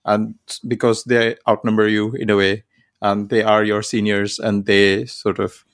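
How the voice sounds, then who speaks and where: A man co-hosting a podcast, recalling his college days in a relaxed, storytelling way with fillers like 'you know'. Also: lowish voice, measured pace, smooth. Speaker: podcast co-host. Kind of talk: casual film discussion.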